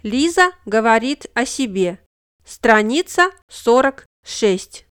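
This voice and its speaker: A woman narrating a scripted language lesson, speaking model phrases slowly and with clear enunciation. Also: a little high, very animated, fairly bright tone.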